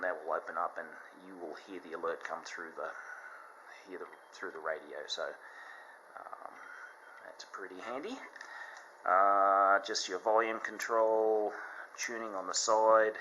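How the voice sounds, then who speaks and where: A man giving a casual, unscripted product demonstration, explaining things in a halting, step-by-step way. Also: deep voice, pushed and bright, slight background noise, poor audio. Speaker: product reviewer.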